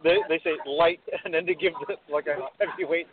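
A man's voice: on the high side, fairly even intonation, a little fast. A man co-hosting a casual radio chat over a phone line, with a jokey way of talking. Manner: jokey, acts out quotes. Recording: dry, tinny phone line.

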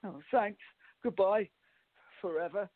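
A man's voice: high-pitched, measured pace. A man acting a flustered, skittish young bachelor, stammering and exasperated, in an amateur audio farce. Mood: alarmed. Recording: dull, muffled lo-fi recording.

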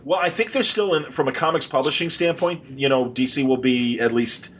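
A man's voice: medium pitch, brisk, a bit bright. A man hosting a podcast interview, chatting casually and agreeably in loose, informal phrasing. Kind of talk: casual conversation about comics.

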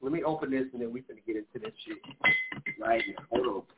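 A man's voice: medium pitch, fast talker, gravelly, over loud music. A man hosting a call-in radio show, giving loose, profane relationship advice, a little nasal and wheezy from allergies. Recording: phone-like lo-fi line.

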